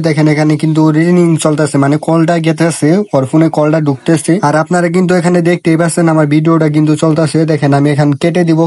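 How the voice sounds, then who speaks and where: Male tutorial host, Bangladeshi accent, slightly high voice, very fast repetitive step-by-step delivery. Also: darkish tone, dry recording. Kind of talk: informal phone app tutorial narration.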